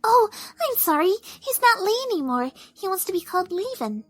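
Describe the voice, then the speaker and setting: A woman voicing a timid, soft-spoken, kind young female pegasus pony in a cartoon audio drama, hesitant and apologetic. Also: very high-pitched, big pitch swings, moderate pace, no echo, exaggerated voice. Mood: gentle, hesitant. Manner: hesitant, apologetic, gently stammering.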